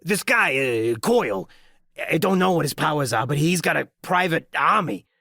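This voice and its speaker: Man playing injured skinhead thug, audiobook drama, crude and grudging, gasping, gravelly edge, close dry sound. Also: on the high side, lively intonation, normal speed, sharp tone, no echo, voice pushed up, exaggerated voice. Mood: grudging informing. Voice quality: strained, gasping. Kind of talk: audiobook character acting.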